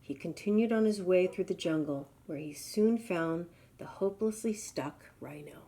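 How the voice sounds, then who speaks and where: A woman's voice: lowish voice, normal speed, mellow. A woman reading a children's storybook aloud expressively. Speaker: storybook reader.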